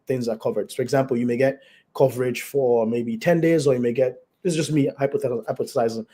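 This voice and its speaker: Raspy-voiced doctor, hoarse with flu. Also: male voice, mid-range voice, steady tone, mellow. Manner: measured, explains terms, many fillers. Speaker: doctor, guest. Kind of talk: explanatory interview talk. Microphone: video call.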